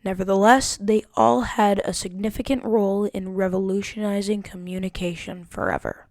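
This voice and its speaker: A woman's voice: mid-range voice, steady tone, moderate pace, a little mellow, dry recording. A woman narrating a student history project, reading carefully from a script with an occasional stumble. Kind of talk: scripted narration read aloud.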